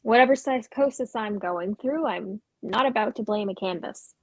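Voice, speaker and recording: A woman's voice: a little high, moderate pace, darkish tone, breathy edge. A woman playing a weary, wry, guarded young suburban woman in a dramatic script reading, speaking in a dry, understated way.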